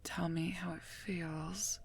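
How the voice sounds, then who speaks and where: A woman playing a stern, protective werewolf knight in a whispered ASMR roleplay, speaking formally and softly in a breathy voice, gently scolding and earnest, close to the microphone. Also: lowish voice, steady tone, slow pace, full character voice. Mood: careful, concerned.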